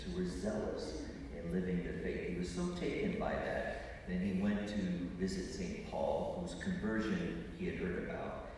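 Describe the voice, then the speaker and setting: An older male priest gives a homily in a deep, measured voice. The sound rings through a large church with long reverb, and clear background noise sits around his words. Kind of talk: homily, measured prepared delivery. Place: large church interior, at an ambo. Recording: echoey church from far off, noisy.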